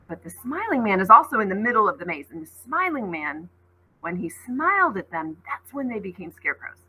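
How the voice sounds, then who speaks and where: A woman teacher reading a novel aloud to students, expressive, stopping for short explanatory asides. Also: medium pitch, a little fast, husky, low-quality recording. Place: school classroom.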